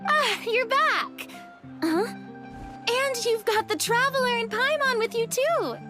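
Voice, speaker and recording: A young, self-assured lady from a video game, speaks in a very high, expressive voice. Her intonation swings widely with a slightly haughty, theatrical air, and music plays behind her. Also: takes it slowly, full character voice. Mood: delighted. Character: theatrical young woman, bright, self-assured former celebrity.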